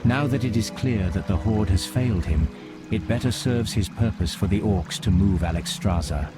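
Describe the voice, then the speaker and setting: A wise male mentor wizard, acted by a man, talks in a fairly low, solemn voice. He lays things out gravely, like a game cutscene. Loud music runs behind every word. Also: lightly acted. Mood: solemn hope. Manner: solemn, measured exposition. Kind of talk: fantasy game cutscene acting.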